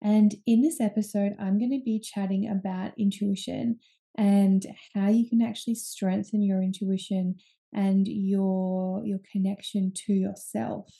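A woman's voice: medium pitch, level tone, a little slow, vocal fry, warm tone. A young woman hosts her own podcast, talking casually and candidly with frequent ums and restarts. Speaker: podcast host. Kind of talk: casual solo podcast talk.

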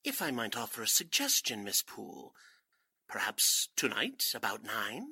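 An actor playing a suave, grandiose fake spiritualist cult leader in a 1940s-style radio drama, speaking formally and theatrically. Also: male voice, lowish voice, huge pitch swings, moderate pace, sharp tone, slightly rough, dry recording, clean studio sound, full character voice. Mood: unctuous.

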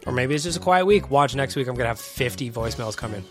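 A man's voice: mid-range voice, animated, fast talker, music in the background. A man hosting a podcast in his home studio, chatting casually and a little self-deprecating, with a stuffy, congested voice from a cold. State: congested, sniffly. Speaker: podcast host. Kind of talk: casual solo podcast chat. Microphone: studio microphone.

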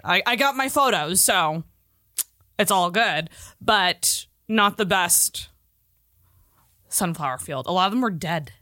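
A woman's voice: on the low side, animated, takes it slowly, long pauses, pushed and bright, no echo, studio quality. A woman co-hosting a podcast in playful, chatty, comedic conversation. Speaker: podcast co-host. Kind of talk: casual comedic chat.

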